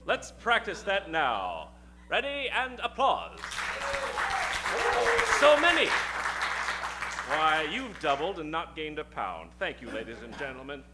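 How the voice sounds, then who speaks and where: A man's voice: on the high side, huge pitch swings, slow pace, pauses often, over a noisy crowd, heavy background noise. Theatrical, swooping male radio announcer. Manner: theatrical, showy. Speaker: host, announcer and narrator. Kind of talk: 1940s radio announcing, improvised comic narration. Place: live stage before an audience.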